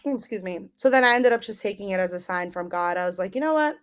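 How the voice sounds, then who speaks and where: Relaxed young woman, rambling with ums. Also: medium pitch, fast talker, clear, resonant tone. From United States. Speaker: guest, business owner. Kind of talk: casual storytelling interview.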